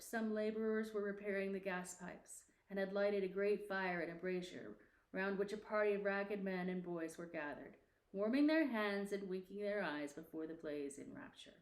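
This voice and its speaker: Woman reading an old storybook out loud, expressive n theatrical, kinda echoey room, medium pitch. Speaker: audiobook narrator. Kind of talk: expressive scripted storybook narration.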